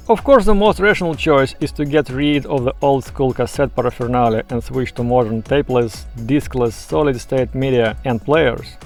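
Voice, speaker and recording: A man with a creaky, fry-edged voice at an average pitch narrates a scripted video essay. His delivery is measured and explanatory, with opinions of his own. Soft music plays faintly behind him. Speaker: YouTube presenter.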